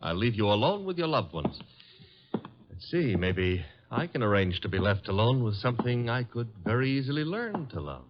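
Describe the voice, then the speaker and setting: A man plays a breezy American wisecracker, assistant to a detective, in an old radio drama. His pitch is middling and moves around a lot as he teases. The recording is dull and vintage. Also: very animated, normal speed, close to an everyday voice. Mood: breezy, flirtatious.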